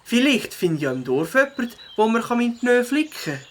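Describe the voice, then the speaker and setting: Man playing a lively young cartoon beaver. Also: very high-pitched, normal speed, a little hiss or hum, exaggerated voice. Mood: resigned. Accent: Swiss German. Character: young male beaver, good-natured, a bit downcast. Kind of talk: children's audio drama.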